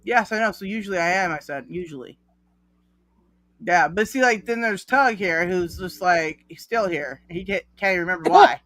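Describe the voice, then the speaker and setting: A man's voice: high voice, normal speed, fairly bright tone, dry recording. A man chatting on a panel, giggly and rambling through stories. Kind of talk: casual chat.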